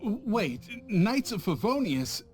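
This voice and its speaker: Stammering, cowardly merchant. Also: male voice, high voice, sing-song, slow pace, full character voice. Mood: nervous. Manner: stammering, panicky, pleading. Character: cowardly, nervous visiting merchant, a schemer.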